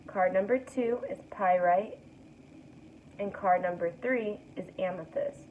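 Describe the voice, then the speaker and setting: Young woman, raspy morning voice, soothing tarot reader, tinny phone-like sound, faint hiss. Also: medium pitch, measured pace. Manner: relaxed, soothing, guides breathing. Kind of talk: casual spiritual reading to camera. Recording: tinny distant mic with faint noise.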